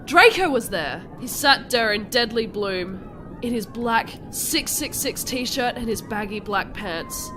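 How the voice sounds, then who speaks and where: Woman doing dramatic goth girl story narration, kinda high voice, music under it. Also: lively intonation, takes it slowly.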